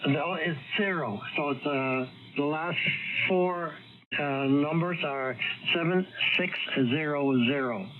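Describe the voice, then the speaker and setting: A man talking casually over two-way radio, halting as he searches for his words. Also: a little high. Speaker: amateur radio operator. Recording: tinny, very noisy ham radio transmission.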